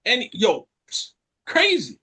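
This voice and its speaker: High-voiced Jamaican man telling stories. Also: slow pace, husky. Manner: animated storyteller, joking, acts out quotes. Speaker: podcast co-host. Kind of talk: casual chat.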